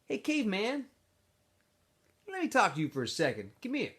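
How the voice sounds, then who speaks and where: A man's voice: sits high, wildly swooping pitch, normal speed, fairly bright tone, breathy edge, voice pushed up, exaggerated voice. A man voicing a pushy teenage boy who leads his group, coaxing and insisting in a storybook read-aloud. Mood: cajoling, commanding. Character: pushy teenage boy, small self-assured group leader, wheedling.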